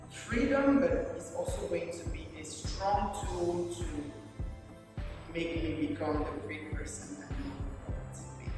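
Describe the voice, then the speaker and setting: A woman, a movement therapist who chats casually in an interview and drops in "like" and "yo". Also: lowish voice. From Cameroon. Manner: relaxed and chatty, drops in "like" and "yo". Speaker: movement therapist and yoga instructor. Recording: echoey hall, distant mic, music behind.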